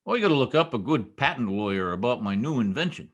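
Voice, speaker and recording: A blustery, stubborn husband from a 1940s-style radio comedy, acted by a man. His pitch swings wildly as he makes emphatic, folksy pronouncements and trips over big words. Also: sing-song, fast talker, lo-fi sound. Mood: stubborn, boastful.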